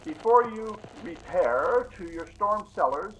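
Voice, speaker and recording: A man's voice: high-pitched, lively intonation, unhurried, a bit bright, a little hiss or hum, low-quality recording. A man playing a solemn, officious emergency announcer on the radio in a comedy drama. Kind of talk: formal broadcast announcement.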